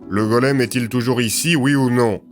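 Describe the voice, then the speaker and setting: A man voicing a gruff, suspicious police captain in an audio story, questioning bluntly. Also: medium pitch, fairly even intonation, normal speed, soft background music, close to an everyday voice.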